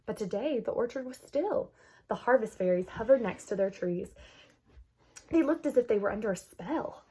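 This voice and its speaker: A woman reading a children's story aloud expressively, with dramatic emphasis and hushed moments. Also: on the high side, animated, moderate pace, husky, low-quality recording. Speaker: children's book read-aloud host.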